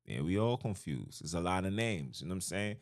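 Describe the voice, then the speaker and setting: A man's voice: sits low, very animated, a little fast, creaky, no room sound, clean studio sound. A man hosting a podcast, talking casually and loosely about scripture and language, with slang and lots of "you know what I'm saying". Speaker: podcast host. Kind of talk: casual religious talk.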